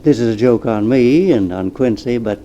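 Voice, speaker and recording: An actor in an old-time radio drama playing a timid, loyal assistant with a conscience, deferential and halting. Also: male voice, mid-range voice, mellow, highs cut off, a little hiss or hum, lightly acted. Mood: shaken, resigned.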